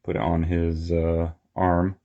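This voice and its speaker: A man's voice: sits low, completely flat delivery, slow, warm tone, lo-fi sound. A man giving a casual, unscripted hands-on toy review, rambling and murmuring to himself as he goes. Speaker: toy collector reviewing figures.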